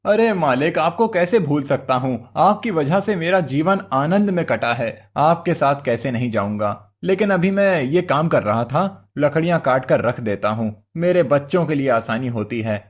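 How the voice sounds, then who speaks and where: A man's voice: a little high, expressive, moderate pace, few pauses. A man playing a crafty, cheerful village husband in a cartoon moral story, coaxing and exclaiming in an exaggerated way. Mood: flattering.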